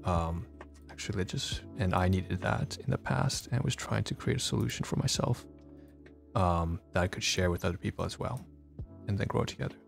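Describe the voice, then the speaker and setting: Gravelly low-voiced man, congested. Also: moderate pace, soft background music. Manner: rambling, with many fillers and restarts. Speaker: streamer. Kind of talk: casual livestream vlog talk. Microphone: phone.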